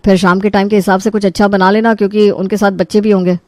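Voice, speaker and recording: A woman playing an easygoing young husband in an animated story, with a casual, coaxing and teasing manner. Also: medium pitch, fast talker, no echo, natural voice. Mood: businesslike. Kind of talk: Hindi animated story voice acting.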